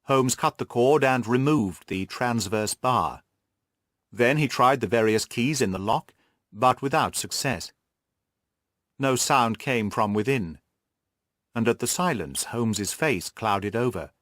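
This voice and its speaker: A man's voice: mid-range voice, expressive, slow pace, fairly bright tone, no echo, clean studio sound. A man reading scripted literary narration as an audiobook narrator.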